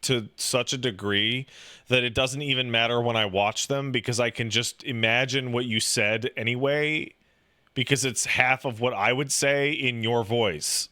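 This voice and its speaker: A man's voice: medium pitch, fairly even intonation, measured pace, pushed and bright, dry recording, studio quality. A man giving casual, sarcastic and self-deprecating commentary. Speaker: video essayist, host.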